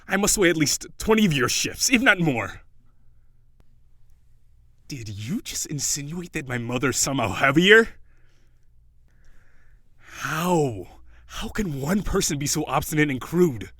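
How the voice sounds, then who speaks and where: A man's voice: a little high, a bit bright, no echo, studio quality, exaggerated voice. A man voicing a haughty, weary prince turned gigantic sea monster, with formal, old-fashioned, prissy diction in an audio roleplay. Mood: exasperated.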